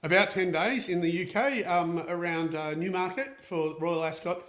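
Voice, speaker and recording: A chatty man with a fairly high voice hosts a relaxed live Q&A, upbeat and full of fillers and restarts. The sound rings with the echo of a hard room. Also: normal speed. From Austria. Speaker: equine therapist and show host. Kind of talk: casual live Q&A chat. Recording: echoey room.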